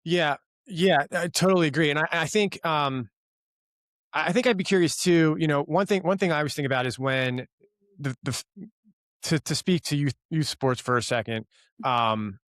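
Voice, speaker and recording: Rambling podcast host, dry close mic. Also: male voice, fairly high pitch, normal speed, fairly bright tone, dry recording. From Philadelphia. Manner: rambling, searching questions with many fillers. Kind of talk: casual conversational interview.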